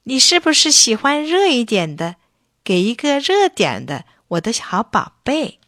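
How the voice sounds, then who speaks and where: A woman's voice: on the high side, big pitch swings, measured pace, a bit bright, no echo, studio quality, voice pushed up. A woman voicing a tender, doting old grandmother in a children's story, speaking fondly. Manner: tender, fond.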